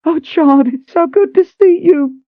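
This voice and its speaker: A man voicing a thin, excitable older woman who runs a palace kitchen, gushing in a high voice. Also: a little slow, dry recording. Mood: tearful joy. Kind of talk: audiobook character voice. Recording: narrow tinny phone-like sound.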